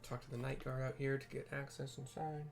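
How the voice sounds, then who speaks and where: A man's voice: mid-range voice, little pitch movement, fairly quick, gravelly, soft background music. A man with a mid-range voice hosts a casual game playthrough. He rambles in offhand asides, with keyboard typing around his voice. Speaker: gamer, channel host.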